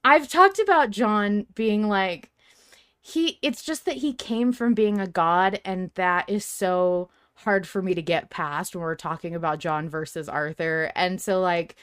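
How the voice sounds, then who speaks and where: Clear-voiced woman in emphatic casual chat. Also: lowish voice, unhurried, fairly bright tone, dry recording, studio quality. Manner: emphatic, opinionated, casually sweary. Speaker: podcast co-host. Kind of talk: casual fan discussion chat.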